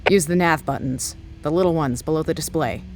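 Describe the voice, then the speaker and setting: A woman's voice: low-pitched, brisk, very noisy, natural voice. A woman acting in an audio drama as a weary, terse technician, impatient and troubleshooting under pressure. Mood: instructing, impatient.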